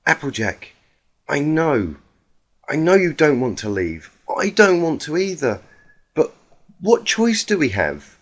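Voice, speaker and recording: A man's voice: fairly high pitch, big pitch swings, unhurried, long pauses, pitched above the performer's own voice. A man voicing an anxious, responsible young female unicorn in a story reading, hesitant and pleading. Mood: worried, pleading.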